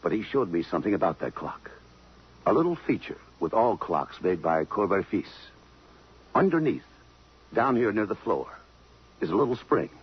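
An actor playing a hard-boiled, plain-spoken police detective in a 1950s radio drama. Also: male voice, on the low side, sing-song, normal speed, pauses often, slightly rough, heavily acted. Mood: probing, matter-of-fact. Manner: plain-spoken, slangy, matter-of-fact. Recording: muffled 1950s radio broadcast.

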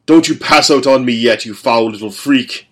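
Guy putting on a brutal father figure, growly, taunting, loud. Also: mid-range voice, normal speed, lightly acted. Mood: menacing. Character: brutal sneering male torturer father.

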